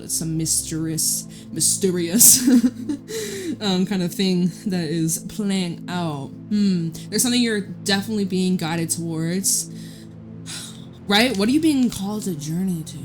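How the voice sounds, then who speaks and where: Loud rambly lady doing a tarot reading, lowish voice, guitar music going behind her. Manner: loud, chatty, rambling with side stories. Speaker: tarot reader.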